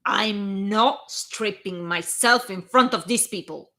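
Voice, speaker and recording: A woman's voice: medium pitch, takes it slowly, bright, forceful tone. An Italian-accented woman voicing a stubborn, irritated young male wizard, curt and grumbling.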